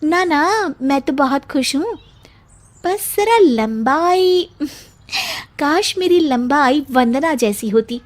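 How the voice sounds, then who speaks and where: Woman putting on a high, lilting cartoon friend. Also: expressive, slow. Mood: wistful. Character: slim, pretty, sociable woman friend, wishing she were taller. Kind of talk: cartoon storybook acting.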